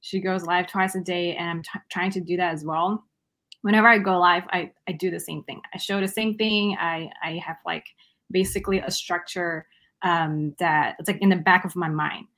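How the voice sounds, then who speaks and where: A woman from Malaysia answers as a guest in a relaxed interview. She speaks with frequent fillers and restarts. Her voice is somewhat low for a woman. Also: normal speed. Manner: hesitant, many fillers and restarts.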